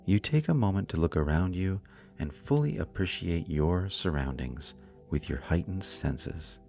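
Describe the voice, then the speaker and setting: A man narrating a scripted guided meditation in a calm, soothing, deliberate manner. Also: low voice, vocal fry, lo-fi sound. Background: meditation music with singing bowls.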